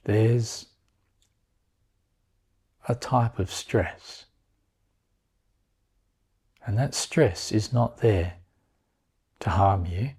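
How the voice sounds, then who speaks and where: A man guiding a meditation in a calm, unhurried manner, leaving long pauses between short phrases. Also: on the low side, slightly rough. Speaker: meditation guide. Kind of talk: guided meditation.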